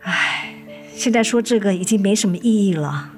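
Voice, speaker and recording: A woman's voice: mid-range voice, animated, normal speed, natural voice. A woman acting a weary, resolute wife and mother in a radio drama, firm and reproachful with her husband. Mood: tired. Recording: studio radio drama with music underneath.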